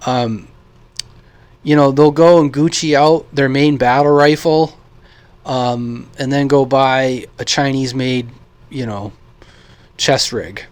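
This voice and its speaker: A man's voice: mid-range voice, steady tone, slow pace, pauses often, clean tone, no echo. An American man giving a casual, opinionated vlog monologue in a blunt, informal, rambling way, as a radio hobbyist. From United States.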